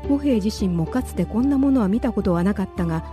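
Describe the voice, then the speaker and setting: A woman's voice: medium pitch, expressive, quick pace, music in the background. A woman narrating a radio drama as a scripted, dramatic story.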